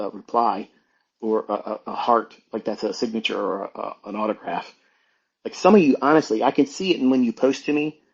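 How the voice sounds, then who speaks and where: Male educator, informal monologue. Husky, emphatic, admonishing delivery with repeated phrases, on a dull lo-fi recording. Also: medium pitch, darkish tone. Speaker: trading educator hosting an online audio talk. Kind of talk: informal unscripted monologue, lecturing.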